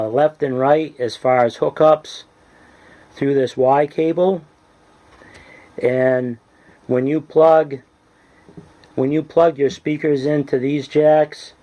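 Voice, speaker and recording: Slow-paced man giving a hands-on demo. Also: on the high side, dark tone, dull audio. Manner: halting, deliberate, plain-spoken. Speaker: hobbyist presenter demonstrating radio gear. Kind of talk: casual hands-on tutorial.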